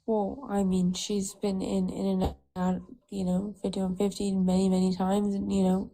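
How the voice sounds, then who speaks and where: A woman guest on a debate stream, speaking haltingly with many pauses and restarts. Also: medium pitch, completely flat delivery, warm tone, poor audio. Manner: halting, full of fillers and restarts. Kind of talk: hesitant debate argument.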